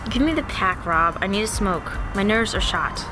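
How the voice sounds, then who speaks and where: Snide young tough girl for radio drama, played by a woman; medium pitch, impatient, nervy sneer. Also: lively intonation, normal speed, music in the background, lightly acted, low-quality recording. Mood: edgy. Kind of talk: audio drama acting.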